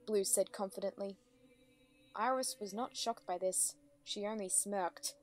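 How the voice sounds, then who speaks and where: A woman's voice: mid-range voice, unhurried, slight background noise. A woman reading scripted story narration as the narrator of a dramatic reading. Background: faint music.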